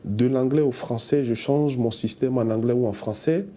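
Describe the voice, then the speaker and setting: A man's voice: mid-range voice, fairly even intonation, normal speed. A man from Benin with a Beninese French accent, giving a personal testimonial in an interview. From Benin, West Africa. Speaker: bank account manager. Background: music bed.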